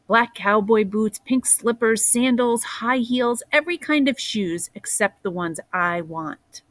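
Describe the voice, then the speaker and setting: A woman reading a children's picture book aloud in a deliberate way, as a teacher does for young listeners. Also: a little high, dry recording. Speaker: storybook reader, teacher.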